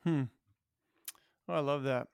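A man's voice: fairly high pitch, fairly even intonation, measured pace, creaky, smooth, dry recording. A man hosting a podcast, asking questions in a casual, tentative, self-deprecating conversational way. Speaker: podcast host.

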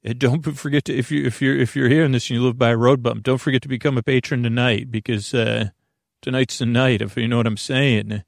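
A man with a creaky voice hosting a bedtime podcast, rambling in a lulling, halting way and often interrupting himself as he drifts into tangents. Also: mid-range voice, fairly even intonation, no echo, clean studio sound. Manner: meandering, halting, digressive, self-interrupting; soothing, lulling. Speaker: podcast host.

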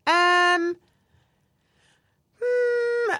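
A woman's voice: very high-pitched, extremely slow, pushed and bright, dry recording, studio quality. A woman in her thirties, chatting candidly as a podcast co-host with lots of fillers. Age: 30s. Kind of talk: casual chat.

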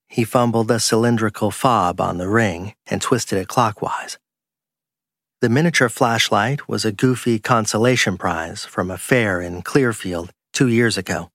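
A man's voice: on the low side, a bit bright, dry recording, studio quality. A man narrating a crime fiction story from a script as an audiobook reader.

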